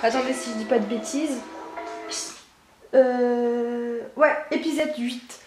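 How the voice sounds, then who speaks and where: A woman's voice: a little high, fairly even intonation, takes it slowly, reverberant, music in the background, rough recording. Chatty young woman with a cold. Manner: chatty, many fillers and restarts. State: stuffy with a cold. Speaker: vlogger. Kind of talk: casual daily vlog chat.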